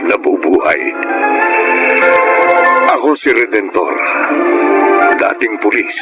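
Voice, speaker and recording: A man's voice: lowish voice, animated, takes it slowly, rough recording. A man playing a remorseful, corrupt middle-aged policeman who confesses and narrates his own story in a Filipino radio drama. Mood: somber. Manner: anguished, pleading confession. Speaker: narrator and lead character. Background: music.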